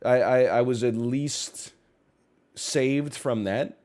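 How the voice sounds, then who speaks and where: A young man from Canada chats on a livestream, his voice slightly congested. He rambles through stories, corrects himself mid-sentence and throws in jokey asides. His pitch sits in the middle range for a man. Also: slow, a little mellow. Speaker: streamer. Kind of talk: casual chat.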